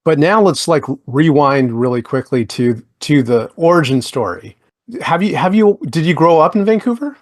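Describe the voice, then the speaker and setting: A man's voice: on the high side, lively intonation, moderate pace. A man hosting a podcast interview, talking in a friendly, chatty way with many fillers like "like" and "you know". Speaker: podcast host. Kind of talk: casual interview chat.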